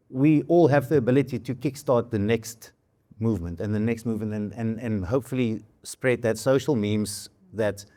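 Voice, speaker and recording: A South African man whose first language is Afrikaans, a musician answering as a panel guest, thoughtful and halting with frequent restarts. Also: mid-range voice, mellow. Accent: South African English. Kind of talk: reflective conversation.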